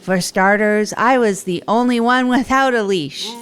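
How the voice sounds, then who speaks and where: Woman as a hapless wannabe comedian in an audio drama, rambly n earnest, pokes fun at themself, mid pitch. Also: a little slow, clean tone, lightly acted. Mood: eager, nervous performing. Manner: rambling, earnest, self-deprecating. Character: Tog, hapless adult storyteller and failed stand-up comedian. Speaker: narrator and radio host. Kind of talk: improvised comic monologue in an audio drama.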